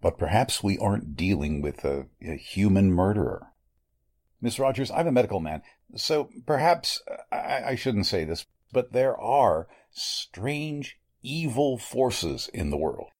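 Man playing a deep, husky, sinister old doctor. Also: expressive, a little mellow. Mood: ominous, insistent. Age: older. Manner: formal, deliberate, menacingly polite. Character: sinister elderly male doctor, courteous, secretly a murderer. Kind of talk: 1940s radio mystery acting.

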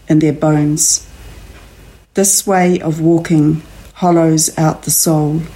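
Mellow, low-voiced New Zealand woman. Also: rough recording. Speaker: author and poet, interview guest. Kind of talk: poetry reading and casual chat. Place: at home, indoors.

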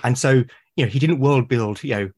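A man's voice: mid-range voice, fairly quick, darkish tone. Male guest, mid-range voice, emphatic and enthusiastic. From Britain. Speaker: podcast guest. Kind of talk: conversational interview.

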